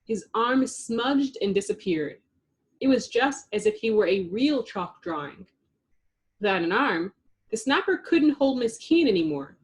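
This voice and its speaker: A woman reading a children's storybook aloud in an expressive narrating style. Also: on the high side, unhurried. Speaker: storybook reader.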